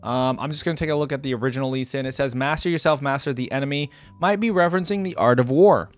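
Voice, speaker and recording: A man's voice: mid-range voice, fast, dry recording. A man hosting a reaction video, giving casual, rambling commentary and addressing his viewers directly.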